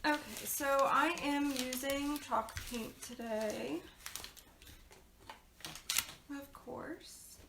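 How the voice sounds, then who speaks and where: A woman's voice: high-pitched, slow pace, vocal fry. A woman from Pennsylvania hosting a casual live craft tutorial, chatty and rambling, losing her voice. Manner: chatty, rambling with fillers and asides. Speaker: craft video host. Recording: echoey room with background noise.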